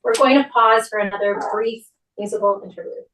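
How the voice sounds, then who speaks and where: A woman officiant speaks formally at a service in a mid-range voice. Her delivery tends to be quick, and the recording is roomy and slightly distant. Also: medium pitch, fairly bright tone, a little hiss or hum. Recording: roomy echo, distant microphone.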